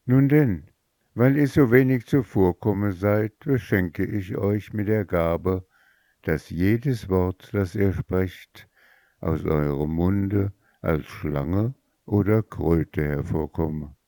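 A man voicing a fairy in disguise who speaks calmly and deliberately, in a slow storybook reading. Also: low-pitched, dry recording. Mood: calmly stern. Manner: calm, measured. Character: fairy disguised as old woman, gentle then stern.

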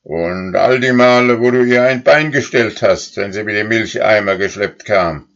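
A man's voice: on the low side, normal speed, a bit bright, dull audio, pitched above the performer's own voice. A man reading aloud, voicing an old, wise and very angry female cow who bellows threats in a storybook. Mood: furious. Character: oldest, wisest, angriest female cow.

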